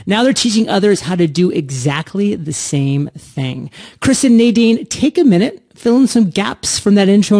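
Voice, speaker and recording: A man's voice: high-pitched, dark tone, no echo, clean studio sound. A man hosting an upbeat entrepreneurship podcast, with an emphatic, punchy delivery. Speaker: podcast host.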